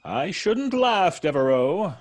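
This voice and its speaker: Slow, gloating vengeful ex-convict. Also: male voice, a little high, sing-song, full character voice. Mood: gloating menace. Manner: theatrical, drawn-out, florid diction. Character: vengeful, gloating male ex-convict, theatrical and menacing. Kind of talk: old-time radio drama acting.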